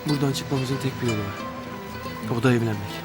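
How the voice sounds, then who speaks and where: A man's voice: mid-range voice, normal speed, close to an everyday voice. An actor playing a young rich man who pleads desperately and pities himself, in a TV drama with music beneath. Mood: pleading. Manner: pleading, emotional outbursts. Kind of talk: TV drama acting.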